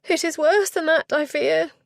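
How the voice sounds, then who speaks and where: A woman voicing a frantic middle-aged female chaperone who talks in high, quivering alarm. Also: lively intonation, moderate pace, gravelly, no room sound, studio quality.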